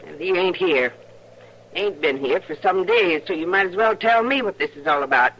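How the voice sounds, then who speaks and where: An actress playing a stern, suspicious, pious frontier wife with a rural Western American accent, curt and defensive, in an old radio western. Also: female voice, on the low side, expressive, normal speed, a bit bright, lo-fi sound. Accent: rural American West. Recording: noisy old radio recording.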